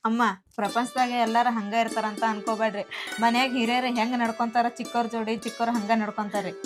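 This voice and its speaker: A woman's voice: on the high side, little pitch movement, fast talker, music in the background, natural voice. A young woman with a North Karnataka Kannada accent, playing a respectful, devoted daughter-in-law who speaks politely to her elders. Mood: tender. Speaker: actor. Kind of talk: village family drama acting.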